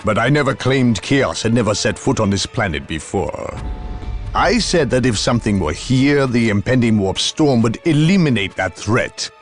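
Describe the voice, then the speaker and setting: A man's voice: medium pitch, expressive, normal speed, lightly acted. An actor playing a haughty, severe inquisitor in a game cutscene, formal and imperious in his delivery. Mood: cool, warning. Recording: loud music behind.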